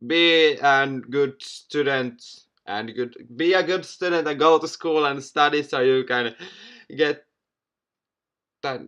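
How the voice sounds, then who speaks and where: A man's voice: fairly high pitch, slow, pushed and bright, clear, resonant tone, highs cut off. A man hosting a gaming video, reading a visual novel aloud with theatrical flair and casual asides, stumbling over words. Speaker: video game let's player, host.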